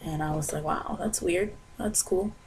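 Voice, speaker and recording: A woman's voice: lowish voice, normal speed, gravelly, a little mellow, breathy edge. A young woman from Maryland, telling a dramatic, self-mocking comic rant to her webcam in a small bedroom. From Maryland, USA. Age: young adult. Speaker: vlogger. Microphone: webcam at desk.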